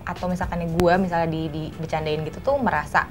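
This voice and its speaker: A woman's voice: on the low side, fairly even intonation, fast. A young woman in a casual group discussion, tentative and searching for words. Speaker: talk show panelist. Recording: music playing behind the talk. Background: background music.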